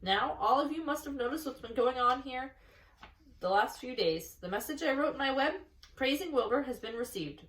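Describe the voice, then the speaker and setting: Lady reading as clever bossy girl spider in a kids book, very up and down pitch, bit distant. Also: animated, normal speed, a bit bright. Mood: brisk, in charge. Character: brisk, bossy, clever female spider, kind to friends. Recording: echoey room, distant mic.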